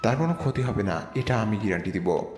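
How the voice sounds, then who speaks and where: A man's voice: on the low side, expressive, fast talker, a little mellow, close to an everyday voice. A man playing a bold, scheming leader of a slum political gang in an audio drama, assured and commanding. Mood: approving, assured. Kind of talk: amateur audio drama acting. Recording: echoey reverb with music behind.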